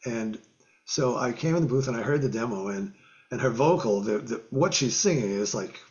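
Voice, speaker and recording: An older man tells studio stories with gusto, mimicking voices and guitar sounds and breaking into laughter. His voice sits at a middle pitch. The webcam audio from his home music room is a little dull. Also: normal speed. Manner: animated storyteller, acts out quotes and guitar sounds, laughs often. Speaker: guest musician. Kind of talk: storytelling in a casual interview. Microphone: video call webcam.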